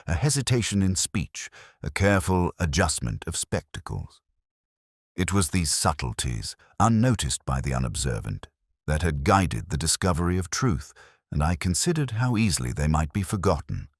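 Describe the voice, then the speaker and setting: A man giving a scripted, literary narration of a detective story in a measured, reflective and solemn manner. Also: deep voice, no echo, studio quality.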